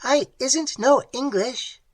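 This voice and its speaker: A reader voicing a strange alien creature on the ice, with a shrill, clicking voice that answers in flat, literal words. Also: takes it slowly. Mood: eerie, literal. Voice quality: clicking, high-pitched. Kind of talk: audiobook narration.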